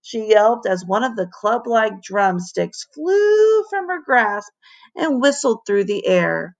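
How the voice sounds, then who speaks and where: A woman teacher reading a children's story aloud in an expressive narration, with dramatic emphasis on key words. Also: a little high, slow, vocal fry, muffled recording.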